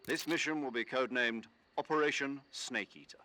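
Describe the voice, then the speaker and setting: An actor playing a middle-aged military commander in a video game, briefing an agent in an authoritative, precise and expressive way. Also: male voice, mid-range voice, a little fast, no room sound, close to an everyday voice. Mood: firm, commanding. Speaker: mission commander.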